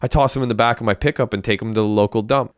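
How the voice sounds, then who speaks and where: A man reading as a sharp-tongued young guy in an audiobook, sneering and full of curses. The voice is on the low side and clean, close and dry. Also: fairly even intonation, rapid-fire, clear, resonant tone, no echo, natural voice. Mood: sarcastic. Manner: sarcastic, swearing. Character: young man, sardonic, quick-tempered.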